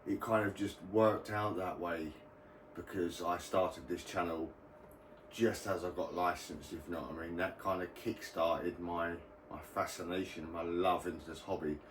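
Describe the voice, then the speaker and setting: A man's voice: sits low, fairly even intonation, moderate pace. A British man giving a casual, rambling explainer as the host of a hobby video channel. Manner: informal, rambling. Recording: roomy, distant mic, faint background noise.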